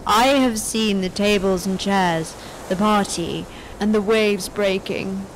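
An actress playing a weary yet defiant elderly woman, delivering a measured, poetic monologue in a literary radio drama. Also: mid-range voice, slight background noise, low-quality recording. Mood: reflective.

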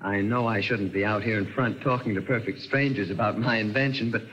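An actor playing a polite, flustered inventor in an old radio drama, formal and a little hesitant. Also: male voice, lowish voice, fairly even intonation, brisk, fairly bright tone, lo-fi sound, lightly acted. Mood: flustered, eager. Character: eager, flustered, polite male inventor showing off his robot. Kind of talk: 1940s radio acting.

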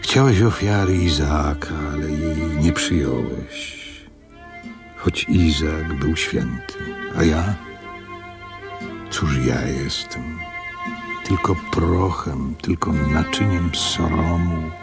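Very deep, slow old innkeeper. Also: male voice, creaky, over music, exaggerated voice. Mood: pleading. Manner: solemn, measured. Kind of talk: Polish radio drama narration and monologue.

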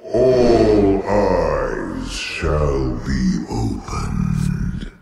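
An actor playing a monstrous tentacled old god in a video game, gravelly, grand and sinister. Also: male voice, extremely low pitch, sing-song, drawn-out words, a crowd in the background, heavily acted. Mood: grandiose, exultant. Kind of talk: video game villain voice lines. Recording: echoing game audio with loud music.

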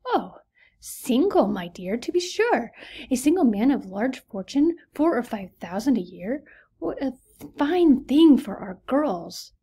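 A woman reading aloud, voicing a fussy, excitable middle-aged mother who exclaims and insists in a raised voice. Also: a little high, normal speed. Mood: insistent.

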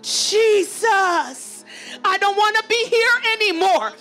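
A woman's voice: very high-pitched, expressive, takes it slowly, pushed and bright, soft background music. A woman preacher leading fervent charismatic prayer, urgent and pleading, exhorting with repeated phrases.

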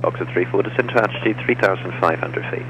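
A man's voice: low-pitched, fast talker. A man working as an air traffic controller, giving procedural instructions over aviation radio. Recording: muffled, noisy aviation radio.

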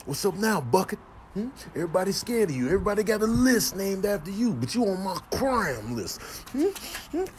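A man's voice: sits high, big pitch swings, fairly quick, darkish tone, a little hiss or hum. A man doing comedic improvised animal voiceover in playful, slangy patter.

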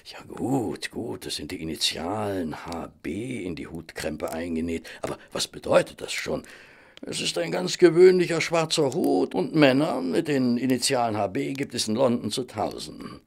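An actor playing a sceptical, good-natured gentleman doctor in a radio drama, gravelly-voiced, hesitant and protesting in manner. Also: mid-range voice, sing-song, moderate pace. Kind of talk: radio drama acting in German.

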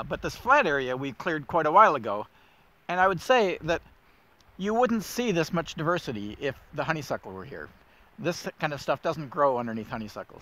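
A man's voice: on the high side, a bit bright. Enthusiastic middle-aged man outdoors. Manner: enthusiastic, thinks aloud with fillers. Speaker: woodland steward host. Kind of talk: casual nature walk guide. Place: winter woods outdoors.

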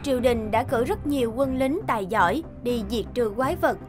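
Female storyteller, very high pitch, scripted fairy-tale reading, clear musical backing. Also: moderate pace, over music. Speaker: narrator.

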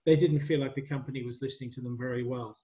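A middle-aged man teaching a university workshop over a video call on a headset, relaxed and hesitant with many ums. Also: mid-range voice, fast. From migrant to Australia. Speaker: university tutor.

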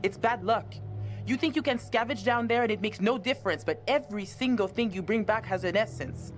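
A woman's voice: medium pitch, fairly quick, soft background music, close to an everyday voice. An actress playing a stern, superstitious young female boat captain in a video game. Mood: grave. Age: young adult. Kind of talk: naturalistic video game acting.

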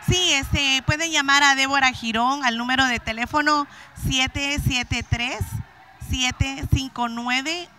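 A woman's voice: on the high side, sharp tone, slight background noise. Guatemalan lady selling crafts, nervous, lots of ums, handheld mic in a hall. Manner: nervous, halting with fillers and repeats. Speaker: craft vendor. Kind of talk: interview. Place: indoor event hall.

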